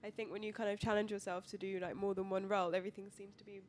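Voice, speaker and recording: A young woman joins a panel chat about her magazine, backing up the others in a loose, filler-heavy way. Her voice is mid-pitched and everyday. A faint low rumble runs underneath. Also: little pitch movement, racing, darkish tone. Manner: casual, agreeing, filler-heavy. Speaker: student magazine co-founder. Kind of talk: panel discussion.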